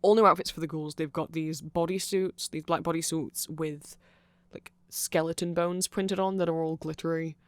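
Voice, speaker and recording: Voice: mid-range voice, fairly even intonation, normal speed, clean tone, dry recording, natural voice. A performer voicing a nerdy vampire fan in a rambling, apologetic, digressive ASMR explanation. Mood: eager, chatty.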